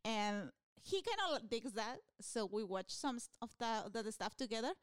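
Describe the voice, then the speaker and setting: A woman's voice: fairly high pitch, sing-song, normal speed, clean tone, no room sound, studio quality. A woman from Peru with a Peruvian Spanish accent, chatting casually as a comedian guest, laughing often and restarting her sentences. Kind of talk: casual interview chat.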